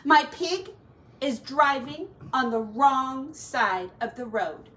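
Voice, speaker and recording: A woman's voice: sits high, lively intonation, slow, pushed and bright, pitched above the performer's own voice, heavily acted. A woman reading a storybook and voicing an exasperated farm woman, scolding and fretting dramatically. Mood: panicked. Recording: distant mic with faint background noise.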